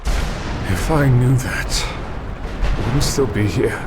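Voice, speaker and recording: A man playing a weary, resigned dream captive in a fantasy game, speaking in a gloomy, measured way. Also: mid-range voice. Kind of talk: scripted fantasy game acting. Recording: clear battle noise behind.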